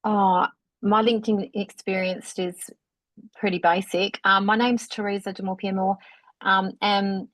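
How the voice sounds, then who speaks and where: Woman, slow, monotone, hesitant, dry close sound. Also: medium pitch, no echo, poor audio. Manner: halting, apologetic, many ums. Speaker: solo business owner. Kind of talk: hesitant self-introduction on a video call. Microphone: video call.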